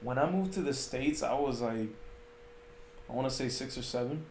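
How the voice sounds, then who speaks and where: A young man talks casually as a podcast guest. His voice is mellow and relaxed, with a middle pitch. The room adds noticeable echo, and there is faint noise behind him. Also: normal speed. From Puerto Rico, later Arizona. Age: late teens to early 20s. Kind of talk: casual chat. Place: indoors, at a home.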